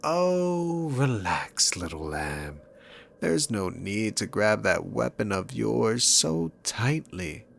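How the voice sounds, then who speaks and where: A low-pitched demon king played by a man speaks in a slow, theatrical drawl. He is a dominant villain in an ASMR roleplay, mocking and condescending as he toys with his prey. Faint noise sits behind the voice. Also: very animated, vocal fry, a bit bright, exaggerated voice. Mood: smug, taunting. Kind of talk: ASMR roleplay acting, addressed to the listener.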